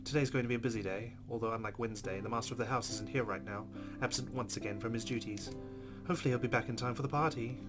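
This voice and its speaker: Guy reading game story out loud, normal pitch, casual little asides, clear music in the back. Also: fairly even intonation, quick pace. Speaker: let's play host. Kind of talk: reading game narration aloud with casual commentary. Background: guitar game music.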